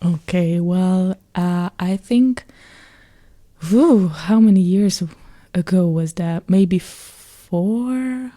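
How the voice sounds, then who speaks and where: A young woman from Hungary with a Hungarian accent, talking as a guest on a podcast. Her voice is warm, mellow and a little low, and she speaks slowly, with pauses and frequent laughter while she finds her words. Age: young adult. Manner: halting, laughs often while thinking. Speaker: guest musician. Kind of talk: casual podcast interview.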